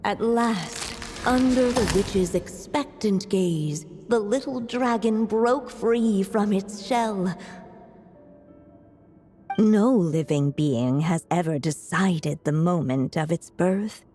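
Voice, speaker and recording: An actress playing a tender, solemn witch mother who tells a fairy tale in measured, deliberate storybook narration for a game. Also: medium pitch, dark tone, close to an everyday voice. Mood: solemn, storytelling. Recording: long reverberant hall, music underneath. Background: music.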